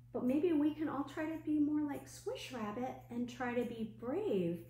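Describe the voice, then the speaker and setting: A woman children's librarian telling a story to young children in a gentle, expressive way, asking simple questions as she goes. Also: sits high. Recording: roomy echo, clear background noise and hum.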